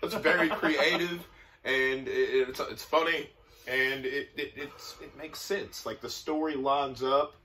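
A man co-hosting a casual chat, with a naturally deep voice and a deadpan sense of humor. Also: unhurried, slight background noise. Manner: deadpan jokes, drops into deep joke voices. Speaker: co-host. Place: small room, seated on a couch. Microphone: far camera. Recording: voice from a distance.

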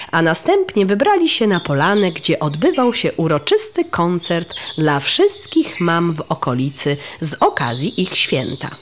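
A woman narrates a story for young children with hugely varied, swooping intonation. Her voice sits a little low and is expressive throughout. Some noise and ambience sit behind her.

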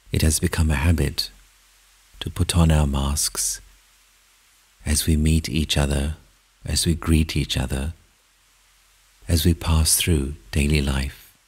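A man leading a guided meditation, speaking in measured short phrases with long pauses, soft and at times almost whispering. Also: very deep voice, slightly rough.

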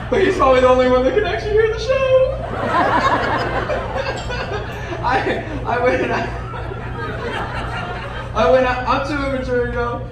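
A high-voiced young man doing stand-up, self-deprecating and ribbing the crowd. He is heard from across an echoey club room, with loud laughter and a thick hum of room noise around him. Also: animated, normal speed, long pauses, recorded from a distance. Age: 20s. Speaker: stand-up comedian. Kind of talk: stand-up comedy set. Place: comedy club stage before a large audience. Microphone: handheld mic, heard from far in the room.

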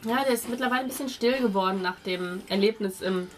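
A woman's voice: medium pitch, fast talker, slight background noise. A woman improvising narration as game master of a tabletop role-playing game, often searching for words. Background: music.

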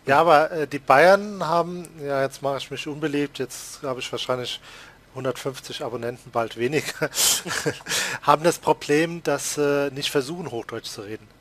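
A man's voice: fairly high pitch, moderate pace, a bit bright. A man with a Palatinate accent chatting casually while playing a farming game, laughing often and teasing himself. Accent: Palatinate German. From Palatinate, Germany. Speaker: let's play host. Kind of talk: casual gaming chat.

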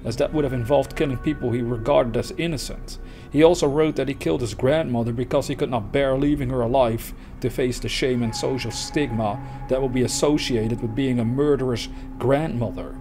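A man's voice: medium pitch, darkish tone. Casual male true crime narrator over music. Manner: conversational, informal asides between read passages. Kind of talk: casual narration reading and commenting on written accounts.

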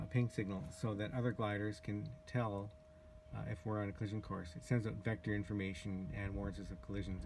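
Low-voiced man, halting casual walkthrough. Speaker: glider pilot and owner giving a tour. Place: outdoors in an open glider cockpit in a driveway. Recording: handheld camera recording with clear background noise.

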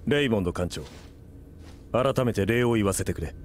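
An actor playing a direct, formal senior federation official in a game cutscene. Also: male voice, lowish voice, animated, normal speed, slight background noise, natural voice.

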